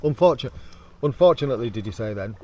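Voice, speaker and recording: A man's voice: mid-range voice, lively intonation, fast, dull audio. A British man chatting casually to camera as a vlogger testing gear, joking in a chummy way with friends. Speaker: vlogger presenting a product test. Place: outdoors on a canal, in a kayak. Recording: clear outdoor water and boat noise.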